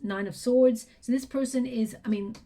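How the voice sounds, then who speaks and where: A woman giving a tarot reading, talking softly and reflectively as she thinks aloud, her voice going hoarse and throat clearing. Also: fairly high pitch, steady tone, normal speed. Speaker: tarot reader.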